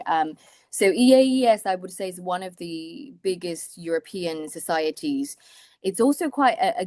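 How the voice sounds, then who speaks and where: A woman's voice: lowish voice, a little mellow, no echo. Hesitant Taiwanese-born woman, dry sound. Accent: British English. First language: Mandarin. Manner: hesitant, many restarts and fillers. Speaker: surgeon, guest. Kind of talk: interview conversation.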